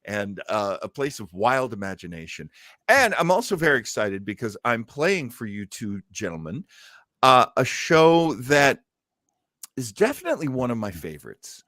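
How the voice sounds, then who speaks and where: An enthusiastic man hosts a radio show in a casual, chatty way. His pitch moves around a lot, with showman-like flourishes, and the sound is close and dry. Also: sing-song, moderate pace, sharp tone, dry recording. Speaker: host of an old-time radio show. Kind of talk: casual chat and show intros.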